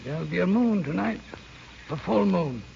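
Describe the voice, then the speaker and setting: A wistful, stubborn elderly recluse in a 1940s English-set radio drama. His high voice creaks and hesitates theatrically. The recording is a dull, noisy old broadcast. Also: expressive, moderate pace, warm tone, exaggerated voice. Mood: wistful, insistent.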